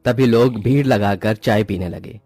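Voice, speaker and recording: A man's voice: lowish voice, moderate pace, darkish tone, no echo, faint music behind. A man reading scripted narration for a moral story.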